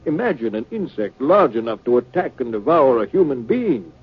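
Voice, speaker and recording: An actor playing a courteous, authoritative university dean in measured, formal 1950s radio drama style. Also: male voice, mid-range voice, very animated, slightly rough. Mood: intrigued, cordial. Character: courteous, probing male university dean of science. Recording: muffled 1950s radio recording.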